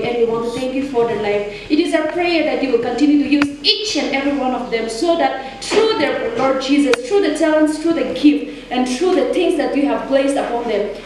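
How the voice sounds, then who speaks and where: High-voiced woman praying in an echoey hall. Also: lively intonation, normal speed, few pauses. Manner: fervent, earnest. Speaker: prayer leader. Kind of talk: spoken public prayer. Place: echoey indoor hall, on a stage. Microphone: microphone on a stand. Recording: very echoey hall, distant, faint noise.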